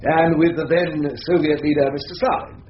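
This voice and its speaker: A man's voice: on the high side, steady tone, moderate pace, close to an everyday voice. Guy playing smug mock-polite english lawyer in radio drama, echoey, noisy dull sound. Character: smooth, needling, pompous English barrister. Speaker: cross-examining barrister.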